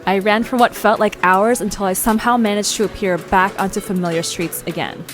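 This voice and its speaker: A woman playing a bold, nosy young amateur journalist in an audio drama, with a brisk, confident, playful delivery. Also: medium pitch, steady tone, faint music behind, close to an everyday voice. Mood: reading gravely. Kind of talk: conversational audio drama acting.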